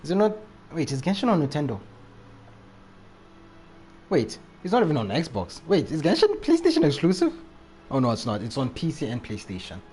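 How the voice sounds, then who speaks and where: A man's voice: on the high side, huge pitch swings, normal speed, long pauses, darkish tone. Casual man live streaming, faint noise. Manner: slangy, repeats phrases. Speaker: video game live streamer. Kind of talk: casual live chat while playing.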